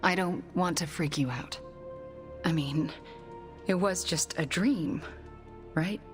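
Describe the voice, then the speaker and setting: An actress playing an uneasy, guarded ally in a video game, speaking hesitantly with hushed phrase endings. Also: female voice, on the low side, slow, over music. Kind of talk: video game cutscene acting.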